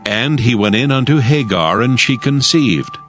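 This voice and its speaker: A man narrating scripture from a script in a solemn, measured manner. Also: mid-range voice, expressive, a bit bright, faint music behind.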